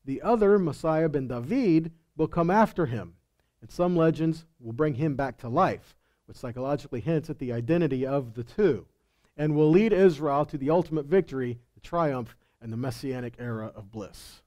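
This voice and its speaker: A man's voice: a little high, lively intonation, normal speed, mellow. A middle-aged man preaching a teaching sermon, emphatic, with scripture readings and rhetorical questions. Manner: emphatic, asks rhetorical questions and answers them. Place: indoor stage of a congregation hall. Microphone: headset.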